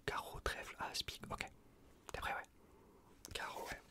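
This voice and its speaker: A man whispering close to the microphone in an ASMR exam roleplay, giving casual, reassuring instructions in a breathy voice with soft repetitions. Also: moderate pace. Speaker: ASMR performer playing an improvised examiner. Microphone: close USB condenser microphone.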